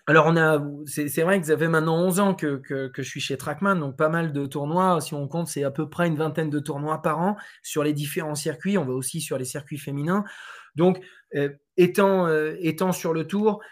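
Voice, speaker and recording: A man from France with a fairly high voice answers interview questions in relaxed conversation. He hesitates a lot, filling gaps and restarting his sentences as he explains. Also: moderate pace. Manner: hesitant, many fillers and restarts. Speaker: Trackman representative on golf tours.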